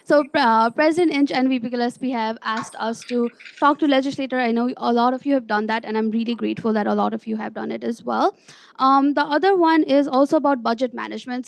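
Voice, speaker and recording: A woman's voice: on the high side, vocal fry, no room sound. A young adult woman speaking as a student government officer giving a report at a meeting. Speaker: student government vice president.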